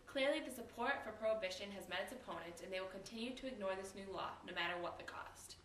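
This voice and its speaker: A woman's voice: mid-range voice, fast, a bit bright, distant mic, lightly acted. A woman plays an earnest 1920s news anchor in a homemade broadcast. She reads formally from a script, away from the mic in an echoey room with faint noise. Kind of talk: amateur scripted mock newscast.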